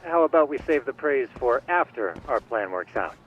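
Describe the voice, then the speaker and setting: A man playing a calm, geeky tech ally in an English video game dub, with a measured, dryly polite manner. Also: medium pitch, muffled recording, slight background noise, lightly acted. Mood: calm, curious. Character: calm, geeky male tech ally coaching over comms.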